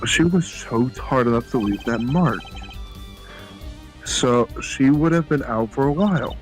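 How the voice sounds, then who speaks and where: An earnest young defense attorney, played by a man in a game dub. He lays out his reasoning eagerly in a middle-pitched voice. Faint music sits in the background. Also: animated, a little slow, close to an everyday voice. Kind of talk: fan voice acting of a game.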